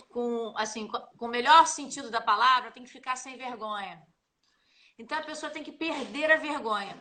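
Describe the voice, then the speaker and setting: A middle-aged woman talks with a bright, high voice and plenty of spark. She speaks as a teacher in a relaxed live chat and keeps acting out small scenes in quoted voices. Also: slight background noise. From Brazil. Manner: animated, acts out little scenes with quoted voices. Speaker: guest English teacher.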